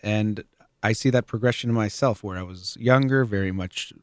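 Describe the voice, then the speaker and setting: A man hosting a conversational discussion, thoughtful and pausing to explain terms for listeners. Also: on the low side, clear, resonant tone, no echo, clean studio sound.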